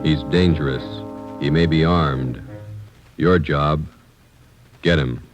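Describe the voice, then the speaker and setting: An American man giving a solemn, deliberate scripted introduction to a mid-century radio drama. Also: a bass voice, lo-fi sound, over loud music. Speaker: radio show announcer.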